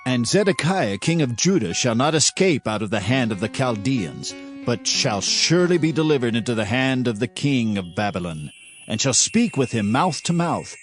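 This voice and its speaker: A man's voice: mid-range voice, sing-song, normal speed, lightly acted. An actor playing an indignant biblical king, declaiming accusingly in a scripture drama. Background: music.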